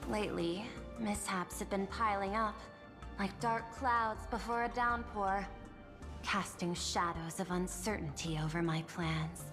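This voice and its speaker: A poised, guarded executive woman from a video game, played by an actress, speaks in formal, deliberate phrases at a middle pitch. Long reverb trails her voice, and music plays clearly behind it. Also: natural voice. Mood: composed, guarded. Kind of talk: scripted game character dialogue.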